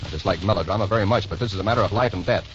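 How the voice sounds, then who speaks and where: A deep, earnest young hospital doctor, played by a male actor in the 1940s radio drama manner. He is torn in private and commanding at work. The sound is dull and aged, with music in the recording. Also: rapid-fire, lightly acted. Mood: strained, apologetic.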